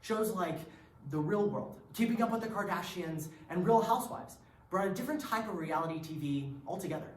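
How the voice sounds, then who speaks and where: A woman's voice: fairly low pitch, lively intonation, a little fast, lightly acted. A woman acting in a readers theatre piece with rehearsed, theatrical delivery. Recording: roomy stage recording with background hiss.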